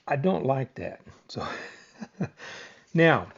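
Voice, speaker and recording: A man's voice: mid-range voice, big pitch swings, drawn-out words, husky, highs cut off. A man giving a casual, plain-spoken hands-on product demonstration, explaining things as a reviewer.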